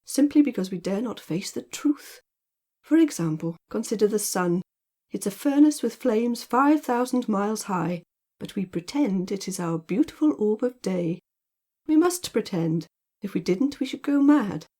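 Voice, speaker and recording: A mellow-voiced storybook gentleman, acted out by a woman reader, lazy and teasing. He speaks in playful rhetorical flourishes, mock-solemn and theatrical. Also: mid-range voice, lively intonation, normal speed, natural voice. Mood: mock-serious, animated. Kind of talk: audiobook character dialogue.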